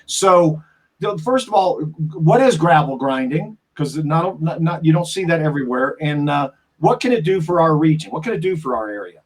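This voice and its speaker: Husky-voiced man asking halting questions. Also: a little high, lively intonation. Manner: halting, rephrases his questions. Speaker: interviewer. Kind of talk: casual interview questions.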